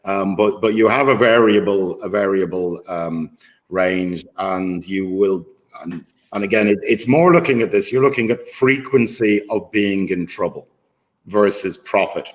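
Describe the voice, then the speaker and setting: A man's voice: sits low, measured pace. A man speaking as a guest market maker in a casual conversation, rambling with many fillers and blunt swearing. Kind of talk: casual video-call conversation. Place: at home in his city.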